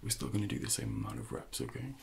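A man in his thirties speaking in a soft, breathy near-whisper, gently and slowly coaching a workout as an ASMR personal trainer. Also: lowish voice, huge pitch swings, noisy background. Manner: slow, gentle, hushed coaching.

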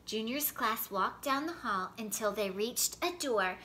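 Bright, high-voiced woman reading a storybook. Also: measured pace. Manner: animated, clearly paced storytelling. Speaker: storyteller reading picture books. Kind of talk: expressive children's read-aloud narration. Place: indoor living room by a fireplace.

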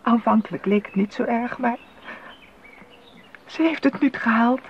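An actress playing a sorrowful noblewoman in a Dutch radio drama, speaking gravely and haltingly on a muffled old recording. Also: a little high. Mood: distressed, grieving. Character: sorrowful noble mama bearing grave news. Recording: muffled 1980s radio recording, faint noise.

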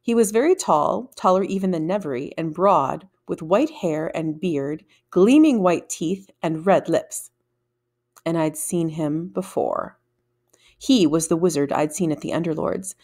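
A middle-aged woman reading a children's novel aloud in a measured, deliberate storytelling manner. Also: low-pitched, a little mellow. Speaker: read-aloud narrator. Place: small room at home, indoors. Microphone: desktop microphone in front of her.

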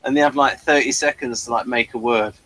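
A man's voice: mid-range voice, steady tone, brisk, pushed and bright, low-quality recording. A man hosting a casual review chat, explaining things in a rambling way.

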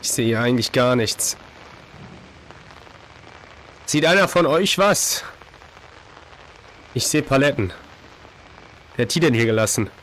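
A hoarse man in his thirties with a cold, casually commenting on a horror game at a desk microphone, reacting jumpily to scares. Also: medium pitch, lively intonation, measured pace, pauses often, fairly bright tone, slight background noise, poor audio. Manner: chatty, jumpy reactions to scares. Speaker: let's play host. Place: home streaming room, indoors. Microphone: desk microphone, headset on.

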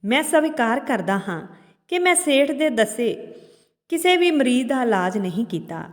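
A woman's voice: sits high, very animated, normal speed, pitched above the performer's own voice. Woman voicing a servile, pleading healer in reverb. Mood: fearful, pleading. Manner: deferential, pleading. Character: servile, frightened male village healer. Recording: long hall-like reverb on voice.

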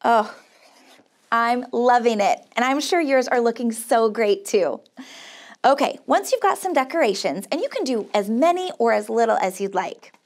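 A woman's voice: fairly high pitch, expressive, moderate pace. An American woman in her forties hosting a children's craft show, giving step-by-step instructions in an encouraging, upbeat way. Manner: encouraging, upbeat, sing-song praise. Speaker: children's craft show host, camp counselor. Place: small indoor studio set.

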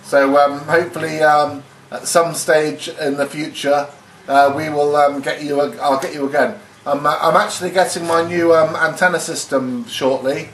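A man's voice: fairly high pitch, steady tone, moderate pace. A man chatting as an amateur radio operator in a hesitant way, repeating himself. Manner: hesitant, repeats phrases and spells words phonetically. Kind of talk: casual ham radio contact chat. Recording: echoey room, faint radio hiss.

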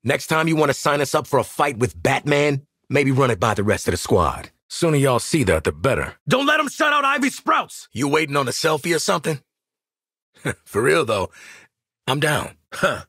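Cocky sardonic merc sniper for a video game, raspy, swings up and down a lot, streetwise, bossing the squad around. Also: male voice, medium pitch, lively intonation, moderate pace, fairly bright tone, dry recording, clean studio sound. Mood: wry, casual. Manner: streetwise, sardonic, swearing, commanding. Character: cocky, wry, battle-hardened mercenary marksman in a squad.

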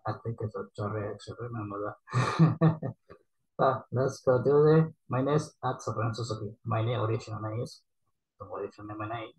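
A young man with a Japanese accent reacting to a comic dub in a small bedroom, talking in halting, broken English full of restarts. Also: lowish voice, a little mellow, breathy edge, poor audio. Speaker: reaction streamer. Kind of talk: casual reaction commentary.

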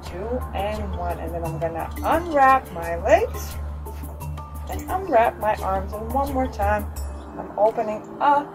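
A woman's voice: medium pitch, expressive, unhurried. A young adult woman leading a movement lesson for children outdoors, giving simple, encouraging step-by-step instructions from a little way off the camera. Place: outdoors on a covered wooden deck. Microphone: camera a few steps away. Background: music.

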